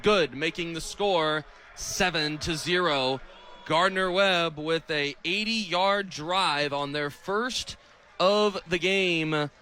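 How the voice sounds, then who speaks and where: A young American man calling live football play-by-play on radio, speaking in crisp, clipped phrases. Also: fairly high pitch, slow pace, a little hiss or hum. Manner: crisp, clipped phrases that build to excited calls on big plays. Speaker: play-by-play radio sports announcer.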